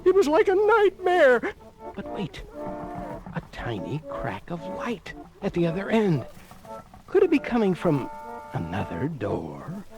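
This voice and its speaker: A man's voice: high voice, unhurried, low-quality recording. A man narrating a children's storybook record in a theatrical, dramatic style. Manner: theatrical, animated. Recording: 1980 vinyl read-along record with music.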